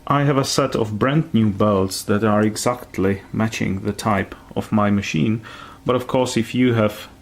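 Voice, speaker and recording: Slow, low-voiced man giving repair instructions. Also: vocal fry. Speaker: repair tutorial presenter. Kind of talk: step-by-step hands-on repair tutorial.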